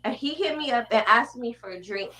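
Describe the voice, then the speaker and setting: A woman in her forties tells her story on a live stream, indignant and swearing freely. Her voice is high and bright, with a very lively rise and fall. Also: moderate pace. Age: 40s. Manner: indignant, profane, animated storytelling. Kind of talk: casual live stream chat.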